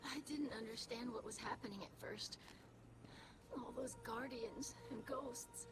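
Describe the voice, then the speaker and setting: A shaken young female survivor played by an actress speaks in a fairly high voice. She is earnest and emotive, a sensitive game character, with music behind her. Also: steady tone, unhurried, natural voice, rough recording. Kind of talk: dramatic video game dialogue.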